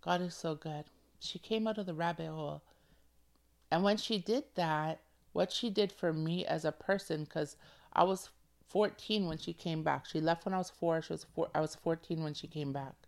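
Earnest woman, soft lower voice. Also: normal speed, vocal fry. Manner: emotional, earnest, repeats phrases for emphasis. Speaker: podcast host. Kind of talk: casual heartfelt monologue.

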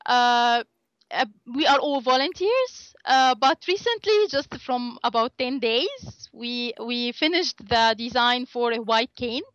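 A woman whose first language is Arabic, speaking as an interview guest and often pausing on filler sounds. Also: fairly high pitch, lively intonation, a bit bright, no room sound, lo-fi sound. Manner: hesitant, many filler sounds, laughs often. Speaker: interview guest, assistive tech volunteer. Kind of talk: conversational interview.

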